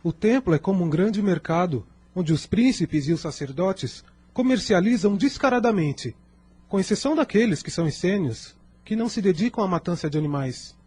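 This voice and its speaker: A man's voice: high-pitched, animated, normal speed, darkish tone, husky. A man playing an indignant young brother in a religious radio drama, formal and emphatic. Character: indignant young brother backing up his sibling.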